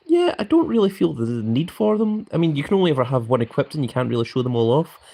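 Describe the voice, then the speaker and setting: A man's voice: fairly high pitch, very animated, fast talker, gravelly, dark tone. A young man live-streaming a mobile game, chatting casually and reading viewers' comments aloud with frequent ums.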